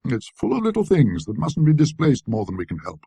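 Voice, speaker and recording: Male reader as gentleman collector, fussy, ironic, clipped, bossy, lively swoops, dry recording, storybook tale. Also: medium pitch, fairly quick, creaky, husky, no room sound, pitched above the performer's own voice, full character voice. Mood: fussy, anxious.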